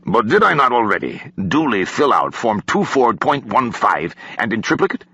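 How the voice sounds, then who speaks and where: Man playing a exasperated Russian admiral, forceful and husky. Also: medium pitch, sing-song, normal speed, no echo, pitched above the performer's own voice, exaggerated voice. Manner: formal, stilted, exasperated. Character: aging male Russian admiral, frustrated, wryly grumbling.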